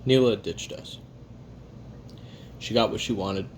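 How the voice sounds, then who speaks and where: A man playing a weary, jaded assassin who records a private confession in a halting, sardonic, casually profane way while eating. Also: on the low side, steady tone, slight background noise, rough recording. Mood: blunt, irritated. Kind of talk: first-person confessional audio roleplay monologue.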